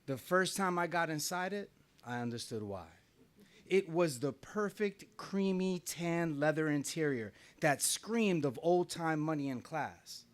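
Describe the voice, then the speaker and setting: A man's voice: a little high, lively intonation, measured pace. A middle-aged man from New Jersey telling a wry, conversational personal story on stage at a small live venue, often laughing as he talks. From New Jersey, USA.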